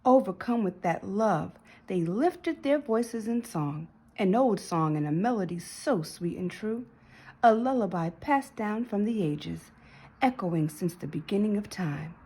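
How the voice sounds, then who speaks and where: A woman narrates a children's storybook with lively, swooping intonation. Her mid-pitched voice has a husky edge, and she leans on key words for dramatic emphasis. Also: unhurried. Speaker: storybook reader. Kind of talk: expressive children's read-aloud narration.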